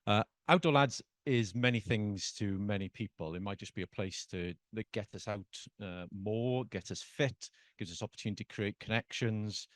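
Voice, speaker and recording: South welsh man, lowish voice, earnest meeting speech, dry sound. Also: normal speed, dry recording. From South Wales. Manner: earnest, with frequent "uh" fillers. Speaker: trustee candidate.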